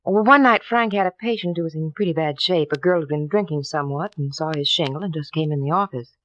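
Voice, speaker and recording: Subdued radio wife, muffled recording. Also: female voice, low voice, quick pace, fairly bright tone, no room sound. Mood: subdued, explaining. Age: 30s. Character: quiet, reserved married woman in her thirties. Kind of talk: 1950s radio drama acting. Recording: dull, slightly noisy vintage radio recording.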